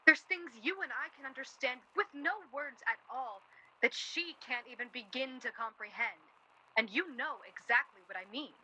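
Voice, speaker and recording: A woman's voice: high voice, moderate pace, pushed and bright, breathy edge, exaggerated voice, rough recording. An actress playing a guarded, bitter and blunt young woman in a naturalistic radio play, arguing her points plainly. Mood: intense, bitter. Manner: blunt, argumentative. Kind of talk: radio play dialogue, naturalistic period drama.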